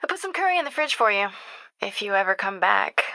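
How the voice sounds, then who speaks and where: An actress with an American accent playing a caring, determined young reporter in a video game, speaking in an earnest, conversational, confiding way. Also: female voice, mid-range voice, big pitch swings, fast, creaky, pushed and bright, no echo, exaggerated voice. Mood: light, warm. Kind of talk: naturalistic video game acting.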